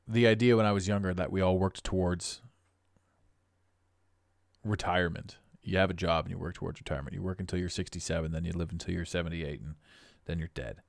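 Podcast host, raspy middle-aged man, deep and blunt, dead-dry sound. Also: steady tone, fairly quick, no room sound. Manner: blunt, preachy, emphatic. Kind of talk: motivational monologue.

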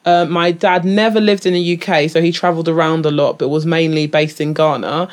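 A woman's voice: low-pitched, brisk. This is a woman talking loosely as a podcast guest. She starts over a lot, fills gaps with ums and likes, and breaks into laughs between thoughts. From England. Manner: animated, full of restarts and fillers, laughs often. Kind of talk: casual interview chat.

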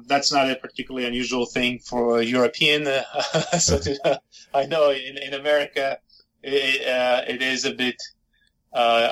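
Middle-aged man from Georgia, native Georgian speaker, thoughtful historian guest, bright gravelly tone. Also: medium pitch, low-quality recording. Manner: thoughtful, many fillers and restarts. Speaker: historian and author. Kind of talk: podcast interview answers.

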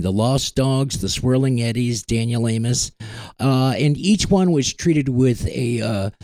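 A man's voice: mid-range voice, dark tone, no echo. A man hosting a music podcast, introducing songs in a loose, casual way with plenty of filler "uh"s. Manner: loose and chatty, many filler "uh"s. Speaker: podcast host. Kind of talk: casual radio-style song introductions.

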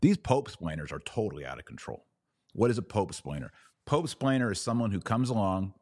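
A man's voice: on the low side, very animated, fairly quick, a little mellow, no echo, clean studio sound. An American man hosting a live commentary podcast, answering comments in a blunt, opinionated way and repeating phrases for emphasis.

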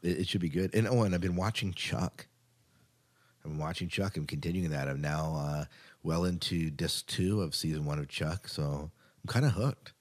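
A man with an American accent and a notably low voice hosts a podcast. He talks informally and chattily, sometimes doubling back to repeat a phrase. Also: moderate pace. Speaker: podcast host. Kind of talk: casual podcast chat and show rundown.

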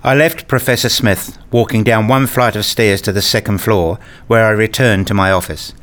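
A man's voice: fairly low pitch, fairly even intonation, normal speed, a bit bright. A man narrating a scripted radio play in the first person.

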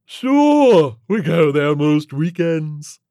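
A man's voice: on the high side, sing-song, very slow, warm tone, dry recording, clean studio sound, voice pushed up, heavily acted. A man voicing a huge tentacled alien in a story, brash, amused and breezily rude, with a folksy, profane manner. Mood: brash, matter-of-fact.

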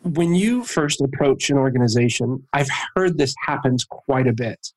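Mid-pitched man interviewing casually. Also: lively intonation, moderate pace, darkish tone, low-quality recording. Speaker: podcast host, interviewer.

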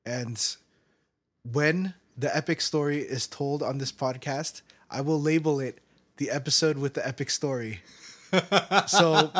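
A man co-hosting a casual chat podcast, rambling and laughing often between his words, recorded on a cheap makeshift mic. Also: a little high. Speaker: podcast co-host.

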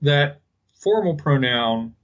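A man co-hosting a podcast, talking casually and haltingly as he explains things. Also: fairly high pitch, expressive, muffled recording. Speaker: podcast co-host. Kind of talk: casual linguistics discussion.

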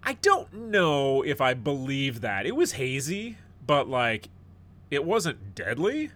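A man's voice: medium pitch, wildly swooping pitch, slow pace, fairly bright tone. A middle-aged man hosting a podcast in casual chat, a bit stuffed up and sniffly, rambling and joking. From United States. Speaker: podcast host.

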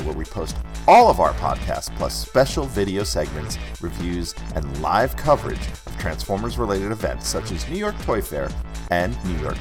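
A man reading a scripted promotional outro as a podcast announcer. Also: low voice. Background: music bed.

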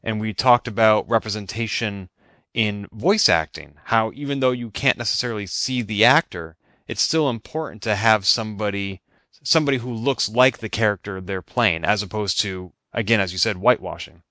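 A man from Boston hosting a podcast interview, asking measured, thoughtful questions. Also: lowish voice, bright, forceful tone, no echo, studio quality. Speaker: podcast host. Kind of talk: interview conversation.

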